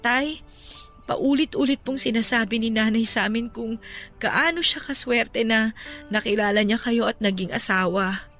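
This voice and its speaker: An actress playing a caring young-adult daughter in a radio drama, talking softly and respectfully to console her father. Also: fairly high pitch, moderate pace, soft background music. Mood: gentle.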